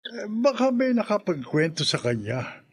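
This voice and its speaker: An actor playing a skeptical father in a radio horror drama, speaking gruffly with doubt. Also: sits high, very animated, normal speed, exaggerated voice. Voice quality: gravelly, husky.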